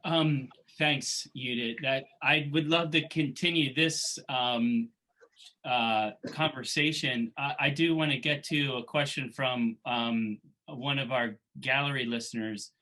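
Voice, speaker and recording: A man's voice: mid-range voice, fairly even intonation, slow, pushed and bright. A man hosting an interview, asking long, rambling questions with frequent restarts. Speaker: interview host.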